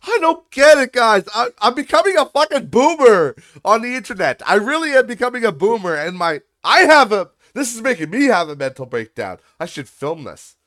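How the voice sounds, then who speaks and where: A man's voice: very high-pitched, very animated, moderate pace, few pauses, a bit bright. A young man with a nasal voice hosting a solo podcast, ranting with exasperation, profanity and lots of restarts. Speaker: podcast host.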